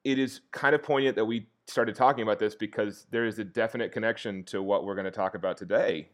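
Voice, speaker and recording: Soothing low-voiced male teacher. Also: steady tone, quick pace. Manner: earnest and reflective, many restarts and fillers. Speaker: history teacher, podcast guest. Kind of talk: casual interview conversation.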